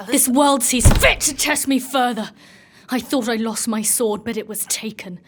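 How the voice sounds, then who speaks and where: A woman playing a proud, stern warrior knight in a dark fantasy audio drama, speaking in a formal, commanding way. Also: on the high side, moderate pace, background noise, lightly acted. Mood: desperate, bitter.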